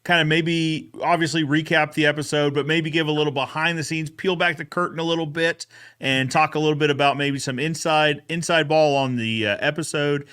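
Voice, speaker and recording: A man with a smooth, clean voice that sits slightly high for a male. He hosts a casual recap chat, talking in an upbeat, informal and colloquial manner. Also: steady tone, fairly quick, a bit bright. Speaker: show host, fence expert.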